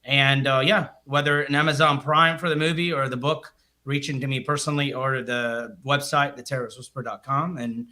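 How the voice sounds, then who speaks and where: Iraqi-accented male guest, bright and forceful voice, quick self-mocking humor. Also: mid-range voice, steady tone. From Iraq. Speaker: podcast guest. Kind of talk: casual podcast talk.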